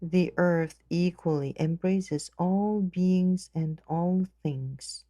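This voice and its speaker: Female meditation guide: soft dark tone, very slow gentle delivery, measured phrasing. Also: lowish voice, no echo.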